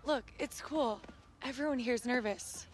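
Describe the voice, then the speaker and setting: A friendly young female footballer with a fairly high voice, played by an actress. She sounds casual and reassuring in video game cutscene dialogue. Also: a little slow, lightly acted. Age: young adult.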